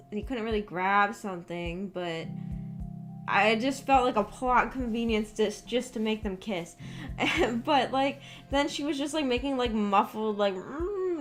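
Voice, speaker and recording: A woman livestreamer chatting casually with viewers in a giggly, rambling way, peppering her talk with "like". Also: on the high side. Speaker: livestreamer, visual novel book club host. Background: background music.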